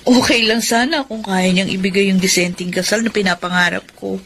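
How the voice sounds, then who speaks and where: Actress playing ambitious young woman, Filipino accent, mid-pitched, hesitant yet emphatic, radio drama. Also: fairly even intonation, brisk, fairly bright tone, lightly acted. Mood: earnest, conflicted. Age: young adult. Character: ambitious, hesitant young factory worker, a girlfriend. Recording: faint background noise.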